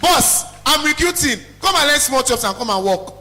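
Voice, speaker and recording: High-pitched, bright-voiced young Nigerian man. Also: expressive, moderate pace. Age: young adult. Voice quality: loud, carrying. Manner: emphatic, blunt, repeats phrases for effect. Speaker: small chops business owner, guest speaker. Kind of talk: motivational talk to a student audience.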